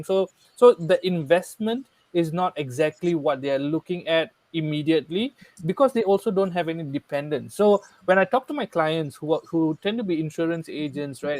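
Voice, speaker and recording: A man talks through his points in an earnest, explanatory way, often checking in with his listeners. His voice sits a bit high. The recording is dry and close. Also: no echo, rough recording. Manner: earnest, explanatory, frequent "right?" tag questions. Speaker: guest speaker. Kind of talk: conversational podcast discussion.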